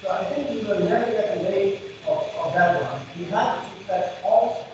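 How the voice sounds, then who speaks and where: A man with a high voice speaks as a conference moderator. He is heard from far off in a very echoey hall. Background noise is clear, and the sound is dull. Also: normal speed. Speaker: session moderator. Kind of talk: conference panel discussion.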